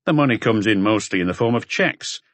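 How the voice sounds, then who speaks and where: A man's voice: lowish voice, animated, fairly quick, no room sound. A man reading scripted detective fiction as an audiobook narrator.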